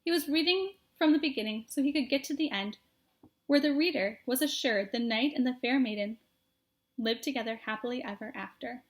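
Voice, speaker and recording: A woman's voice: high voice, a little fast. A woman narrating a children's storybook expressively, as someone reading aloud to young listeners. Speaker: storybook reader.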